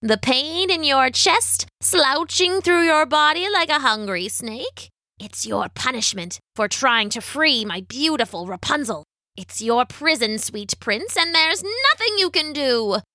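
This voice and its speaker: Ancient storybook crone, croaking, gloating villain, slow menacing delivery, pitched up, bright edge. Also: female voice, lively intonation, no room sound, studio quality, heavily acted. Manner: slow, drawn-out, taunting.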